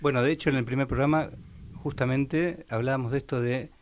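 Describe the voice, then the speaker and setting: A man's voice: medium pitch, steady tone, a little fast. A man co-hosting a casual, reflective radio chat, hesitant and often seeking agreement. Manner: hesitant, keeps checking with tag questions. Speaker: radio show co-host.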